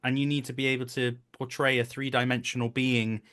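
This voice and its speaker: A man in his thirties talks in a relaxed interview format, with a mid-pitched voice. He explains his coaching work earnestly and slips into quoting imagined speakers to illustrate. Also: brisk. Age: 30s. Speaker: voice actor, director and coach. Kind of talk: conversational interview.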